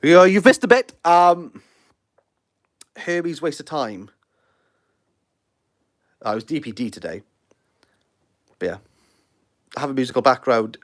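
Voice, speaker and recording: A man's voice: a little high, expressive, unhurried, pauses often. A Welsh man in his thirties hosting a casual livestream, rambling and self-deprecating as he answers viewer comments. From Wales. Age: 30s. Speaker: livestream host.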